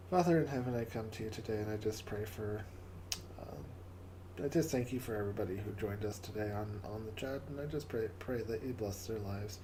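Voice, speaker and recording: A man with a raspy, hoarse voice hosting a casual live stream and closing with a prayer, often stumbling and repeating words. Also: lowish voice, normal speed, noisy background. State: hoarse from coughing. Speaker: livestream host.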